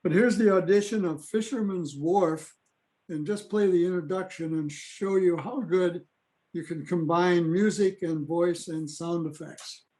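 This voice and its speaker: A man's voice: high voice, a little slow, dark tone. A man giving an informal talk as presenter at a club meeting over a video call. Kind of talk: informal talk with audio clips.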